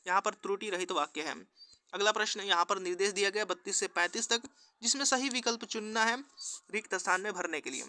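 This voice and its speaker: A man's voice: high-pitched, bright, forceful tone, clear, resonant tone, no room sound. A man working through exam practice questions as a teacher, with an instructional, formulaic question-and-answer delivery. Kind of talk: scripted quiz walkthrough lesson.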